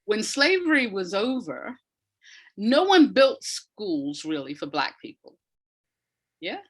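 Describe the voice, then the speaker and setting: A woman's voice: medium pitch, big pitch swings, slow pace, slightly rough. A woman giving reflective, conversational explanations as an interview guest, thoughtfully searching for her words. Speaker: academic and audio dramatist, interview guest.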